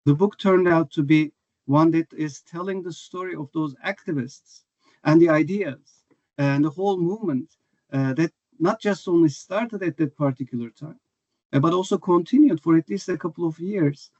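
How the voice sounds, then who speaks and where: A slightly high-voiced man speaks as a scholar in an interview, working his thoughts out aloud with fillers and restarts. His voice comes over a muffled video call. The sound is dry and close. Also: normal speed, a little mellow, no room sound. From Turkey. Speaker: author and scholar, guest. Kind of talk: academic interview answer.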